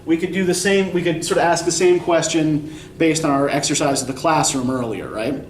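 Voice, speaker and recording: A man's voice: fairly high pitch, fairly quick, far from the mic, a little hiss or hum. Rambling young male instructor in echoey classroom. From Eastern Pennsylvania. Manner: rambling, self-deprecating, frequent "right?" tags. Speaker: college writing instructor. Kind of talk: casual classroom lecture. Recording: echoey classroom recorded from afar.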